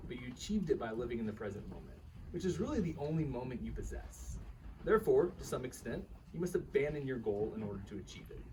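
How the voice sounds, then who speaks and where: A man's voice: medium pitch, close to an everyday voice. A man playing an earnest, bemused karate student telling his partner a story in a live radio play reading. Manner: animated, chuckling, quotes his teacher's dialogue. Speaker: reader in a staged radio play. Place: live reading at a bookshop event. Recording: distant microphone with faint room noise.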